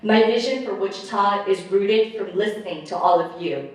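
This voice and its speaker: A woman in her late thirties from Guatemala, giving a measured, rehearsed campaign speech at a forum. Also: medium pitch. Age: late 30s. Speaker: mayoral candidate. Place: large echoey hall with audience. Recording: echoey hall, speaker far from mic.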